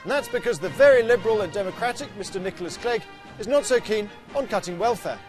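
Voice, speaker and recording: A man narrating a mock public information film in a cheery, deadpan satirical manner. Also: high voice, quick pace. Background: music.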